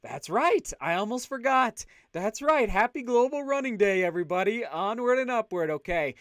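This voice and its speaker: A man hosting a casual livestream, chatting upbeat and loud while reading out viewer comments. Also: high-pitched, animated, moderate pace, smooth, no room sound, clean studio sound. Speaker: livestream host.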